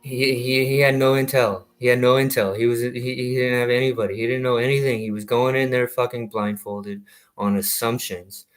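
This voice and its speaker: A man's voice: mid-range voice, flat delivery, moderate pace. Heated, rambling man in his thirties. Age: 30s. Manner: heated, profane, many restarts. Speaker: vlogger, military veteran. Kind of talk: rambling ranting monologue.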